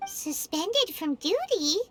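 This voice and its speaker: A woman's voice: very high voice, big pitch swings, slow, a bit bright, soft background music, heavily acted. A woman voicing a small, chirpy, childlike fairy companion in a video game, curious, outspoken and exclamatory. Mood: puzzled. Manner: talks of self in third person, exclamatory. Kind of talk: animated video game voice acting.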